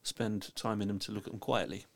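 A man's voice: fairly low pitch, flat delivery, fairly quick, creaky. A man with a London accent, a linguist guest giving blunt opinions with many restarts. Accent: London English. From England. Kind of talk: casual opinionated discussion.

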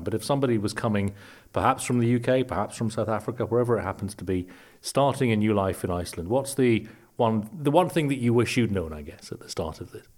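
A man hosting an interview podcast, asking friendly, unhurried questions and often restarting his sentences. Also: fairly low pitch, a little mellow. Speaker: podcast host. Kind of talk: conversational interview.

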